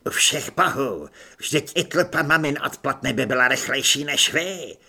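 A man voicing a loud, bossy army corporal barking wake-up orders. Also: very high-pitched, expressive, breathy edge, pitched above the performer's own voice, full character voice. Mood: bellowing. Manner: shouting orders.

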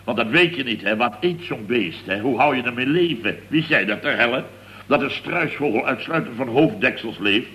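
A flustered, indignant boss, bright voice. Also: male voice, mid-range voice, lively intonation, normal speed, poor audio. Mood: indignant, pompous. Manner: long-winded, exasperated storytelling peppered with verbal tags. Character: flustered, indignant middle-aged boss of a building firm. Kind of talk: comic radio serial acting. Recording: old radio recording with faint noise.

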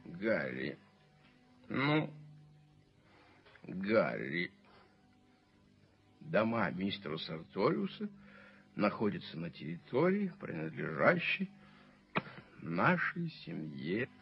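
An actor in an old radio play voicing a fussy, snobbish gentleman with a theatrical, easily scandalized manner. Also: low voice, very animated, slow, gravelly, pauses often, heavily acted. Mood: solemn reproach. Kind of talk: 1970s Soviet radio play acting. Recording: muffled old radio recording.

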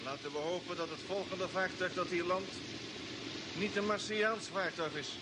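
A man's voice: high voice, steady tone, moderate pace, natural voice. An actor playing a chatty, down-to-earth male spaceship crewman with a wry, colloquial manner in a radio play. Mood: relieved, wry. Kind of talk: radio drama acting. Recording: noisy old radio play, heavy hiss.

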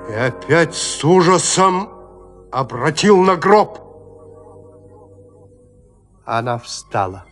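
A man narrates a classic tale for an audio drama in a fairly high male voice. He goes very slowly, setting out short phrases with suspenseful pauses, with faint music underneath. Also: a bit bright. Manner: measured, suspenseful, deliberate pauses.

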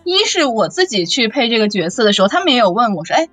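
A woman's voice: a little high, big pitch swings, fast, a bit bright, no room sound. A woman voice actor in a casual chat, chatty and acting out imagined lines as she talks. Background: faint background music.

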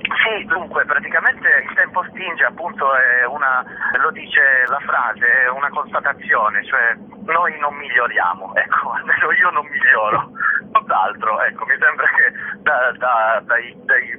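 A man's voice: mid-range voice, unhurried, a little hiss or hum. A man giving a casual, self-deprecating interview as an author-actor, joking as he chats over the phone. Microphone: telephone. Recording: tinny phone line, muffled detail.

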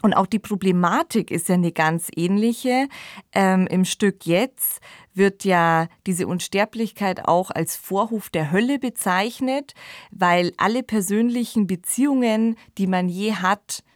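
A female podcast host with a medium-pitched voice talks at a slow pace. Her commentary is conversational and reflective, recorded close and dry. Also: dry recording. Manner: explanatory, reflective.

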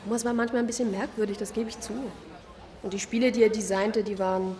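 A woman's voice: mid-range voice, steady tone, quick pace, dark tone, a faint crowd, lightly acted. An actress playing a hesitant yet adamant girlfriend of an accused man, giving an interview in a radio drama. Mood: adamant, protesting. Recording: long reverb, music behind.

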